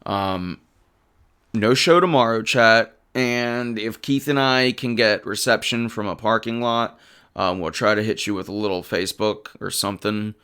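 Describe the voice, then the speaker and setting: A man hosting a live sports talk stream, casually answering chat and arguing football. His voice is hoarse, and he gets emphatic when he runs through stats. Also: fairly low pitch, moderate pace. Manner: animated and emphatic, rattling off stats. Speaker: livestream sports show host.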